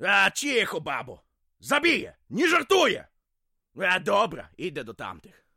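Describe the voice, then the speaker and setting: A man's voice: sits high, wildly swooping pitch, a little slow, vocal fry, pushed and bright, breathy edge, no room sound, clean studio sound, heavily acted. An actor playing a drunken, violent middle-aged village man in an audio drama, ranting vulgarly with swearing and threats. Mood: agitated.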